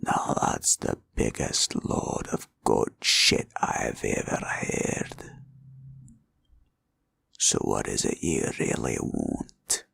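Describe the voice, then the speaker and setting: A man playing a proud, cunning green dragon in a villain roleplay audio, with a taunting drawl and a gravelly growl. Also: low-pitched, heavily acted. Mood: suspicious, menacing. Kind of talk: roleplay ASMR villain monologue.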